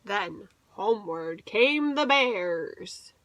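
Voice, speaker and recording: A woman's voice: mid-range voice, expressive, drawn-out words, fairly bright tone, no room sound. A woman teacher reading a picture book aloud to young children, expressively and with little explanatory asides.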